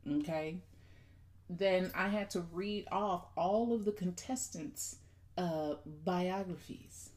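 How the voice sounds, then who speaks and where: A woman's voice: lowish voice, slow, a little mellow. A woman from the Midwest hosting a podcast, chatting and telling a story playfully and addressing her listeners directly. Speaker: podcast host. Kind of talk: casual conversational podcast.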